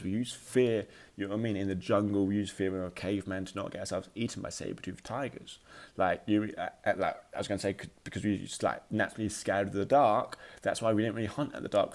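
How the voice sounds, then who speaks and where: Male podcast host, low voice, informal motivational talk, slight stutter, halting but emphatic. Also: a little mellow.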